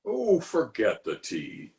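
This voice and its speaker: A man's voice: mid-range voice, huge pitch swings, slow, gravelly, a little mellow, heavily acted. A man playing a pompous, flirtatious middle-aged town official in 1940s radio comedy style, blustering and wheedling theatrically. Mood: coaxing, romantic. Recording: roomy, echoing home video-call recording.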